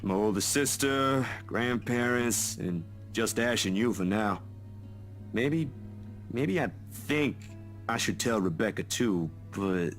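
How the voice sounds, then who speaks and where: A man's voice: lowish voice, animated, slow, soft background music. A man voicing a troubled, guarded young man in a visual novel, speaking earnestly and hesitantly, as in conversation. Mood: uneasy.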